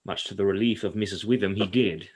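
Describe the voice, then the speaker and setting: A man's voice: fairly low pitch, quick pace, slightly rough. A man acting in a radio play as a solemn, haunted psychiatrist who speaks formally and ominously. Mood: measured. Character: grave, haunted male psychiatrist who fears the supernatural.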